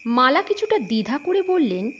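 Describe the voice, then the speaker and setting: A woman narrating an audio story in an expressive, dramatic storytelling manner. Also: sits high, moderate pace, slight background noise.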